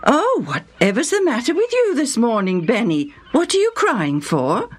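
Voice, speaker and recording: An older woman voicing a patient but firm father, dropping her voice low. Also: huge pitch swings, normal speed, husky, full character voice. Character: patient, firm father, middle-aged man.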